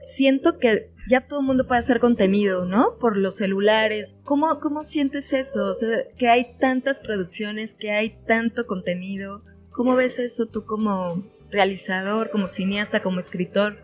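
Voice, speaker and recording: A woman's voice: mid-range voice, normal speed, few pauses. A woman with a Mexican accent hosting a casual chat, interviewing in an informal, playful way. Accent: Mexican Spanish. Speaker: podcast host, interviewer. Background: soft plucked guitar music.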